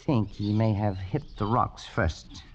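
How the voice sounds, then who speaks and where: A man's voice: lowish voice, slow, a little hiss or hum. An actor playing an arrogant, flippant Englishman with a scoffing, evasive, hesitant way of talking, in old-time radio drama style. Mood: evasive, defensive. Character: flippant, arrogant Englishman abroad, guilty and shaken beneath. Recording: dull vintage radio recording with music.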